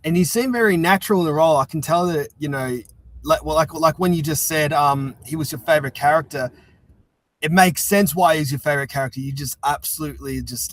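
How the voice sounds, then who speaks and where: A man's voice: medium pitch, no room sound. A man hosting a casual chat-style interview, talking with effusive enthusiasm. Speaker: host interviewing a guest.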